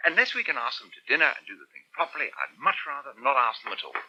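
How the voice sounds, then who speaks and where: A man's voice: mid-range voice, huge pitch swings, racing, sharp tone, slightly rough, lo-fi sound, heavily acted. An actor playing a proud, easily wounded English husband and father in a radio soap, with a clipped, fretful manner, on a poor old recording. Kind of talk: British radio soap acting.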